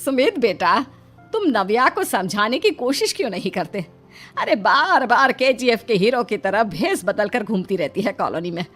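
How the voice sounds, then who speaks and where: A woman's voice: fairly high pitch, very animated, moderate pace, fairly bright tone, soft background music. A woman playing a middle-aged mother-in-law in a cartoon story, fretful yet affectionate, with an exasperated motherly manner. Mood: worried. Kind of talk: animated moral story acting.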